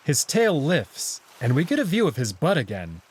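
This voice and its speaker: A man's voice: a little high, huge pitch swings, normal speed, slightly rough. A man reading first-person story narration aloud in a scripted visual novel style.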